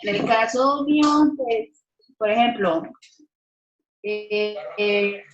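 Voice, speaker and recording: Woman, halting casual answer, dull lo-fi sound, echoey. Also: fairly high pitch, faint music behind. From Colombian Caribbean coast. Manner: hesitant, searching for words. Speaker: study participant.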